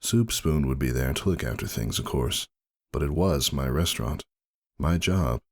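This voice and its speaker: A man narrating a first-person story dramatically, in a brooding, measured and theatrical delivery. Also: very deep voice, lively intonation, a little mellow. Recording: music behind the voice. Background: music.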